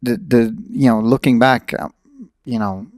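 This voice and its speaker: A man's voice: medium pitch, measured pace, darkish tone, no room sound, clean studio sound. A middle-aged man talking in a casual, reflective and blunt way as he hosts a podcast conversation.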